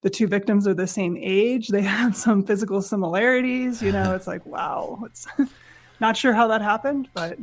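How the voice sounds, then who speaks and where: A woman guest on a podcast speaks at a middle pitch, her voice a little raspy from a head cold. She explains things in an orderly, methodical way. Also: normal speed, a little hiss or hum.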